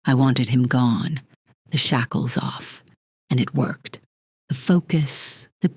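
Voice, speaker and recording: Audio drama lead, female actor: imperious, controlling woman executive, clipped and measured delivery, low breathy register. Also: darkish tone, no room sound, clean studio sound. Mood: cold, dismissive, then smug. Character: cold, controlling female corporate executive, divorced, armor cracking.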